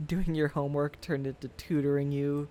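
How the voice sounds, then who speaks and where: A man playing a wistful, self-deprecating former high school sweetheart in an intimate, halting boyfriend ASMR roleplay. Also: flat delivery, mellow, slight background noise, lightly acted. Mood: wistful, amused. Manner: hesitant, halting, chuckles through confessions.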